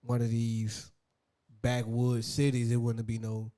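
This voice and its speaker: A slangy man co-hosting a casual podcast, speaking in animated back-and-forth banter. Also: mid-range voice, unhurried. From northern United States. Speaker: podcast co-host.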